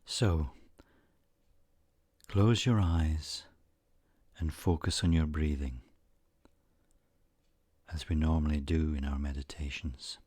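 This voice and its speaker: A man with a low voice leads a guided meditation at a very slow pace. His delivery is soothing and measured, broken by frequent pauses. The sound is dry and close, with no room around it. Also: fairly even intonation, darkish tone, husky, no echo. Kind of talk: guided meditation narration, scripted.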